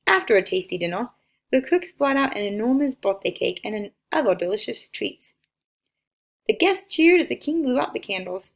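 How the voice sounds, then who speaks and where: A woman reading a children's picture book aloud as an expressive storyteller. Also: on the high side, moderate pace, creaky.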